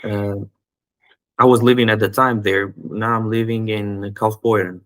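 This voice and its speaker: A man from North Macedonia, with a fairly low voice, answers a host's questions. His replies are short and hesitant, with pauses and fillers. The recording is dry. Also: little pitch movement, a bit bright, no echo. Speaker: guest, student seller. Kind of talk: interview answers.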